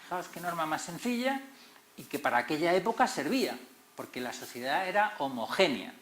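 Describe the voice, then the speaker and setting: A middle-aged man with a Madrid Spanish accent giving an expressive public lecture, stressing key words as series moderator. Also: fairly high pitch, brisk. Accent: Madrid Spanish, not very Canarian. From Tenerife, Canary Islands. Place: lecture hall. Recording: roomy echoey hall.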